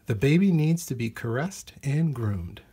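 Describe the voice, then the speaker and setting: A man with a husky voice reading a children's nature storybook aloud in measured, deliberate phrases. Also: medium pitch, animated, mellow. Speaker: storybook reader. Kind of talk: read-aloud children's nonfiction storybook narration.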